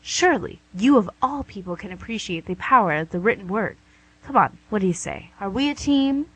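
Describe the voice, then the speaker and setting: A woman's voice: medium pitch, expressive, fairly quick, breathy edge, no echo, poor audio. A woman playing a smug, coaxing female devil in a comic radio play, with a theatrical, glib delivery. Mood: smug, persuasive. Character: smug, wheedling, image-conscious female devil called Lucy.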